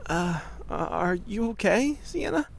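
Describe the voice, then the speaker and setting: A woman playing an eager, nervous, good-natured young office newcomer in a comedy audio drama, hesitant and excitable. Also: mid-range voice, measured pace, vocal fry, slight background noise. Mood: concerned.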